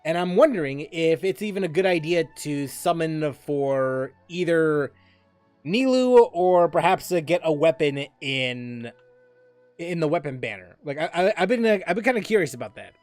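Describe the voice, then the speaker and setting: A gaming streamer giving casual let's-play commentary, talking in a halting, stammering way full of restarts and fillers. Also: medium pitch, animated, a little mellow, faint music behind.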